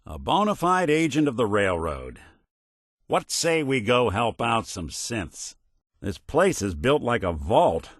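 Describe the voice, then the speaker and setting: A world-weary robot private eye from a video game, speaking in a low register with very expressive swings of pitch. He is sardonic and principled, delivering hard-boiled noir lines with a dry, folksy turn. The recording is close and dry. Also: husky, dry recording, heavily acted. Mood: wry, intrigued. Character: weary, wry male android detective, world-worn but principled.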